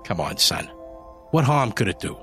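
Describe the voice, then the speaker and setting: A man's voice: mid-range voice, sing-song, moderate pace, fairly bright tone, faint music behind. A man voicing a kindly older widower who talks in a folksy, encouraging way. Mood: friendly.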